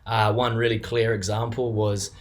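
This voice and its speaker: A man's voice: fairly low pitch, level tone, moderate pace. A younger man with a New Zealand accent, a business co-owner giving an unscripted client testimonial, hesitant with many fillers and restarts.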